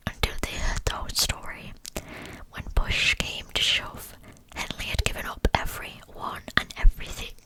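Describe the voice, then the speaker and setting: A woman narrating a true crime story as ASMR, in an unvoiced, breathy whisper with a measured, hushed delivery. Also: poor audio. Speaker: ASMR channel host, narrator.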